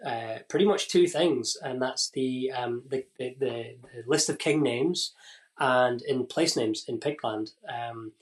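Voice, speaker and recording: A man's voice: mid-range voice, takes it slowly. A man from northeast Scotland with a Scottish accent, a guest explaining history on a podcast, with many fillers and restarts. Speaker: guest expert on Pictish history. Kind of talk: casual podcast interview.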